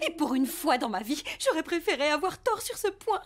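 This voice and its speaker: A woman voicing a bossy, anxious female pet in an animated cartoon, assertive and melodramatic. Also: high voice, a little fast. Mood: resolute. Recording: voice over loud cartoon music.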